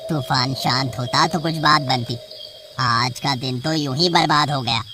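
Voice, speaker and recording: A gruff male snake charmer in a cartoon story, grumbling and snappish. The voice sits low yet sounds bright and forceful. Faint music plays behind him. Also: a little slow, full character voice. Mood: muttering. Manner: blunt and irritable, grumbling to himself. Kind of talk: cartoon voice acting.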